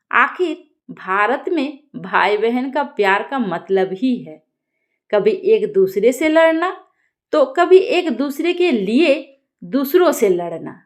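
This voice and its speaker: A woman narrating a scripted story as a storyteller, in an expressive and deliberate manner. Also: a little high.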